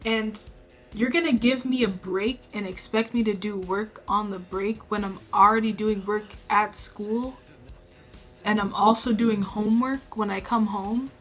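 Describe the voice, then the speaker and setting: A teenage girl from New York State talking to the camera in her bedroom, speaking low and subdued, self-conscious and rambling with many fillers. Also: steady tone, measured pace, fairly bright tone, soft background music. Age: teens. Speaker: vlogger. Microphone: camera in her room.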